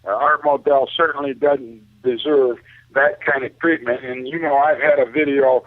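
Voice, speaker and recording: A man's voice: mid-range voice, steady tone, moderate pace, no echo. A man giving opinionated sports talk over a narrow phone line, speaking emphatically and often addressing his listener as "man". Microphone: telephone.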